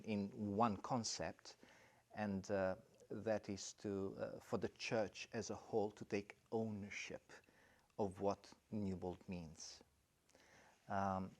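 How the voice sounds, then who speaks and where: A middle-aged man from Romania, a college principal answering interview questions in a thoughtful, halting way with many fillers and restarts. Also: lowish voice, a little mellow.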